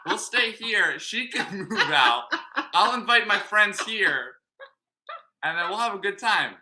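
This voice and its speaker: A young man with a bright, sharp, somewhat high voice chats with his grandmother. He rambles and hesitates, restarting sentences and leaning on "like.". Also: expressive, unhurried. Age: young adult. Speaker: grandson, guest. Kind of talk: casual chat.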